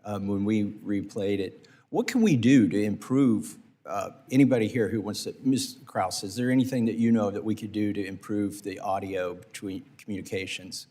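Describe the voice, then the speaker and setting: A congressman questioning witnesses at a committee hearing, halting with restarts and fillers. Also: on the low side, warm tone, big-room sound. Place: hearing room.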